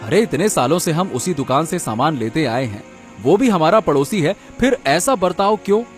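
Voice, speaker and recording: An honest, soft-hearted village woodcutter from a cartoon tale, acted by a man. His voice is fairly high, animated and earnest, with faint music behind it. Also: moderate pace, close to an everyday voice. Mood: indignant. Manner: earnest, emotive. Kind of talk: cartoon story character acting. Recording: music under the voice.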